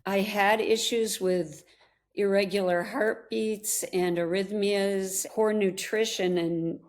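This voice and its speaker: A woman's voice: medium pitch, steady tone, slow pace, a little mellow. A woman of seventy in recovery speaking earnestly about her health in an interview. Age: 70s. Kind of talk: recorded interview testimony.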